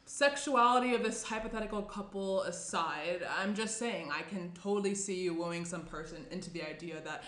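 A woman's voice: fairly low pitch, normal speed, few pauses, a bit bright, natural voice. A young woman playing a tense, guarded, sarcastic morning-after guest in a naturalistic table read. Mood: teasing. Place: small indoor room at a table. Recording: roomy, faint background noise.

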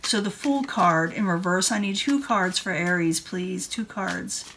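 A woman's voice: lowish voice, measured pace, fairly bright tone, slight background noise. A woman giving a casual tarot card reading in an informal, rambling way. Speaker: tarot reader. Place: indoor room at a table.

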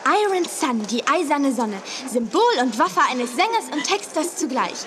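An actress playing a touchy, vain young would-be TV announcer in a children's radio play. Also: female voice, high voice, very animated, moderate pace, fairly bright tone, soft background music. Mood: eager. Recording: noisy old cassette radio play.

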